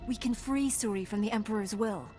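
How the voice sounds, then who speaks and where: A woman plays an earnest female Jedi warrior in a video game. Her mid-pitched voice is measured and serious, heard with long reverb over music. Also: lightly acted. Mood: resolute. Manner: measured, serious, gently admonishing.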